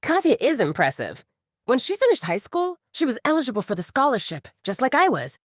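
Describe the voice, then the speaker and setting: A woman voicing a charming, caring young male werewolf bartender in an audiobook, patient and gently teasing. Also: fairly high pitch, big pitch swings, fairly quick, dry recording, studio quality, pitched above the performer's own voice. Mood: proud, fond. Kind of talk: audiobook character dialogue.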